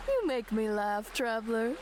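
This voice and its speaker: A woman playing a theatrical, mystic fortune teller who lives in a treehouse, in video game dialogue, with a grand, unhurried way of speaking. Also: fairly high pitch. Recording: music playing behind the voice.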